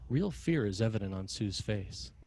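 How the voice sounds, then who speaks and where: A man with a lowish voice narrates a screenplay. He reads stage directions in a measured, dramatic style. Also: lively intonation, darkish tone.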